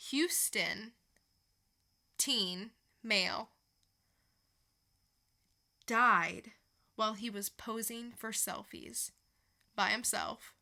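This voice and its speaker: A woman's voice: on the high side, pushed and bright. A woman co-hosting a podcast in a casual, chatty quiz-banter style. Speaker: podcast co-host.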